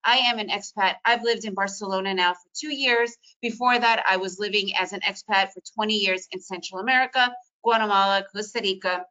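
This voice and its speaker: A middle-aged woman from the former Soviet Union, a native Russian speaker, giving an energetic, emphatic webinar talk on trading. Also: medium pitch, fairly quick, few pauses, highs cut off. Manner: energetic, emphatic, repetitive phrasing. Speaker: trading educator. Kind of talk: webinar presentation.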